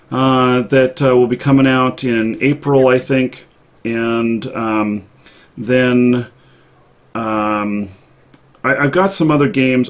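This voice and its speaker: A man hosting a live show, chatting casually about games in a halting way with pauses mid-thought. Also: medium pitch.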